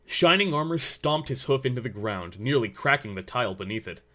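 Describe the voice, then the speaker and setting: A man reads a story aloud as narrator. His voice sits at an average male pitch, and the delivery is scripted narration. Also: animated, brisk, pushed and bright.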